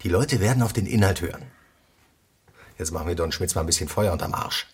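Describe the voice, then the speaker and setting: An actor playing a pushy, blunt activist in a radio drama, husky and insistent, talking in a colloquial, prodding way. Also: male voice, low-pitched, fairly quick, lightly acted. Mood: determined. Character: pushy, blunt male activist coaching a young woman. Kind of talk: naturalistic radio drama acting.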